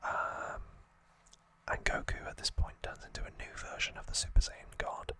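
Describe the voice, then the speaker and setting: Whispering male ASMR host, crisp and bright. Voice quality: soft unvoiced whisper. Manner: informal, halting, self-correcting explaining. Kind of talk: ASMR whispered trivia recap.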